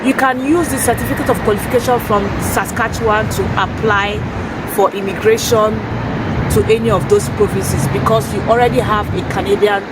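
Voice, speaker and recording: A hoarse woman vlogs from a city street, her voice strained by a sore throat. She explains step by step in an earnest, halting way, often restarting her sentences. She sits far from the mic, with loud traffic and street noise all around. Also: medium pitch, fairly even intonation, over a noisy crowd. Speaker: vlogger. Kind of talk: casual informational vlog. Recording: noisy street recording, distant from mic. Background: traffic and urban ambience.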